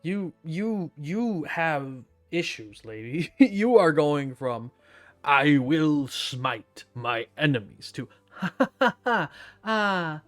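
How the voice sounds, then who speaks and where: Streamer: young woman, deep for female, casual sarcastic swearing game commentary, faint game music under her. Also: big pitch swings, slow pace. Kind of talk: casual let's-play commentary.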